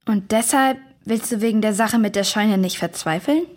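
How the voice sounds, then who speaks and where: A woman's voice: mid-range voice, moderate pace, darkish tone, close to an everyday voice. A woman playing a curious, earnest young girl in a children's audio drama, with a questioning way of talking.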